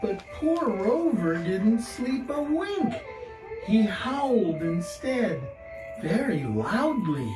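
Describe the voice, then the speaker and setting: Very slow, expressive woman reading over music. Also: medium pitch. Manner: deliberate, expressive, dramatic pauses. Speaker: guest story reader. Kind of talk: scripted picture-book narration for children. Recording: roomy distant voice under loud music. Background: loud instrumental music.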